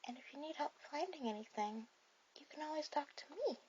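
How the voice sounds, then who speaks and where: Woman voicing a high-pitched shy anime girl. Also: animated, brisk. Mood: gently chatty. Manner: soft-spoken, hesitant, gentle. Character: shy, sweet, bookish teenage anime girl on a date. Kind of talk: ASMR romantic roleplay addressed to the listener. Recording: dull lo-fi audio with clear hiss.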